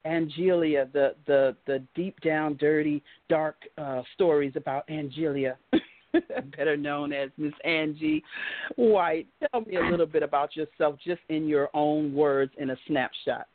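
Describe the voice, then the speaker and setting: A woman's voice: deep voice, measured pace. An American woman hosting an online talk show in an upbeat, chatty, devout and exuberant way. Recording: band-limited phone-like line, music behind.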